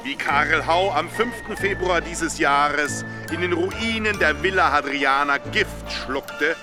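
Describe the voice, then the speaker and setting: A grandiose variety-show barker in a radio drama. His voice is fairly high and slow, declaiming every phrase to the crowd's benefit with music playing clearly behind. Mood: grand, sensational. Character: showy male variety-show barker announcing a sensational murder case. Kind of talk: German radio drama acting.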